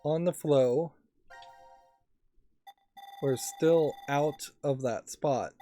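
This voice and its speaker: Let's play host: relaxed, warm timbre, musing casual delivery, game music in the background. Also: medium pitch, extremely slow. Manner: musing, thinking aloud.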